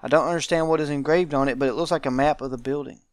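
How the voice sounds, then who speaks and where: A man's voice: on the high side, steady tone, rapid-fire, dry recording, studio quality. A man hosting a visual novel let's play, reading game text aloud and adding informal commentary.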